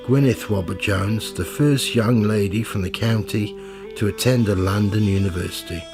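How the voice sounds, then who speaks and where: An actor playing an excited, driven investigator thinking aloud in a radio play monologue. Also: male voice, lowish voice, vocal fry.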